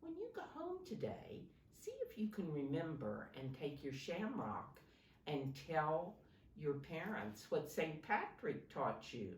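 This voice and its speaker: Low-voiced woman reading slowly to children. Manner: simple, instructive, points out pictures and prompts listeners. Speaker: teacher reading a picture book to young children. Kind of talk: read-aloud storytelling with explanations for young children. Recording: roomy, distant microphone, faint background noise.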